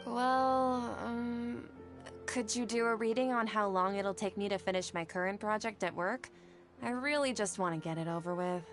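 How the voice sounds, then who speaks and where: A woman's voice: medium pitch, steady tone, normal speed, music in the background, close to an everyday voice. A woman playing an earnest, polite young woman in a video game, sincere and a little hesitant. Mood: weary. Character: earnest, weary young woman from the desert, deferential.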